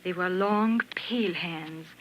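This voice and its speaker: An actress playing a wistful dressmaker in a measured, sorrowful, theatrical 1940s radio drama style. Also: female voice, on the low side. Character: wistful dressmaker Marie, telling a tragic story. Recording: noisy, dull old 1940s radio recording.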